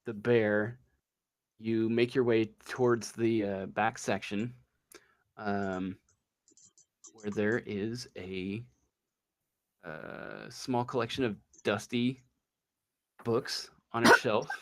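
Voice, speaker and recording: Guy running a tabletop rpg, slow and halting, kinda unsure, feeling out his words. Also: lowish voice, steady tone. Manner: halting, tentative delivery, searching for words. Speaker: game master. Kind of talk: improvised narration and chat in a tabletop game.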